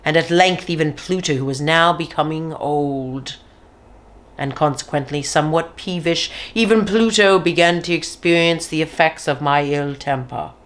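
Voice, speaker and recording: Low-voiced woman reading a horror story. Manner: slow, deliberate, with dramatic drops to a near whisper. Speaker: reader of a classic horror story. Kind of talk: dramatic audiobook narration.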